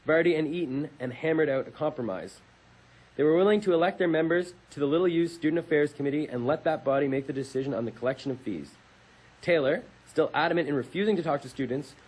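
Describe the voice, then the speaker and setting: A man's voice: on the high side, darkish tone, rough recording. A young man co-hosting a campus radio show, casually reading a history piece aloud and correcting small slips as he goes. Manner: reads steadily with small slips and self-corrections.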